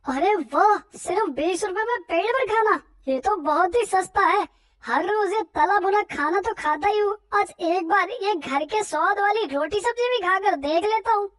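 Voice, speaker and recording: A woman's voice: extremely high pitch, expressive, moderate pace, a bit bright, no room sound. A woman voicing a friendly, eager male customer in a cartoon story, talking in an exclaiming way. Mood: delighted. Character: cheerful male office worker customer, friendly.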